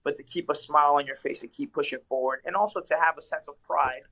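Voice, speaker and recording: Young man, bright voice, earnest, reflective, lots of ums and uhs, interview guest. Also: on the high side, fast talker, rough recording. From Ecuador. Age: young adult. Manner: earnest, reflective, many fillers. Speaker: guest, professional mixed martial arts fighter. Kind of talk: casual interview answers.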